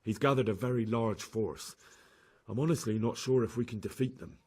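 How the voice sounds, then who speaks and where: A man's voice: lowish voice, normal speed, a little mellow, breathy edge. An actor playing an earnest young man in a video game cutscene, a captive turned messenger. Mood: earnest, grave.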